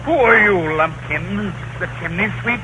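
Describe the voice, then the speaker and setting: Pompous, high-pitched Roman emperor. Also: male voice, a little slow. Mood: puzzled. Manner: mock-archaic, declamatory. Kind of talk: 1930s radio comedy acting. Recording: very noisy 1930s radio recording.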